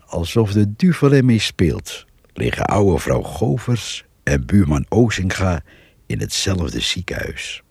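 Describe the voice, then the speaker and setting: An actor playing a gruff, gossipy farmer who narrates a radio drama in a slow, musing, grumbling manner. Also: male voice, low voice, very animated, darkish tone, no room sound, exaggerated voice. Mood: grim musing. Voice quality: gravelly, husky. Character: gruff rural farmer narrating his diary, gossipy and wry.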